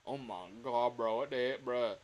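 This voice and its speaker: A man's voice: mid-range voice, moderate pace. Man livestreaming games over noisy background. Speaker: livestreamer playing a horror game. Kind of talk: casual gaming commentary.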